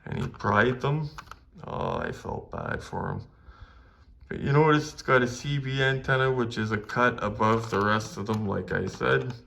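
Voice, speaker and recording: A Canadian man speaks in a medium-pitched voice as he hosts a casual collectibles review. He addresses viewers directly and sounds keen on the subject. He often repeats phrases to drive a point home. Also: very animated, unhurried, vocal fry, fairly bright tone. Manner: enthusiastic, repeats phrases for emphasis. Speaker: collectibles video host.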